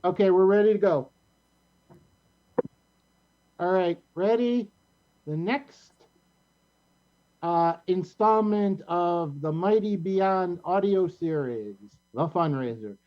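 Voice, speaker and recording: A man's voice: high-pitched, slow pace, long pauses. A man casually hosting and directing a live show over dull, lo-fi call audio.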